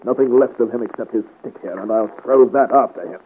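An actor playing a gallant, bold young Breton adventurer in a radio serial, earnest and formally courteous. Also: male voice, medium pitch, fast talker, close to an everyday voice. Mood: grim satisfaction. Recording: noisy, narrow-band 1950 radio serial.

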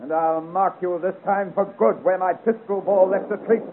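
An actor playing a mocking, menacing captain of marauders in a theatrical 1950s radio adventure serial. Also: male voice, high voice, steady tone, normal speed, phone-quality sound, slight background noise. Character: sneering villainous captain of a band of marauders. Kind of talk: 1950 radio serial villain acting. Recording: thin old radio recording, busy background.

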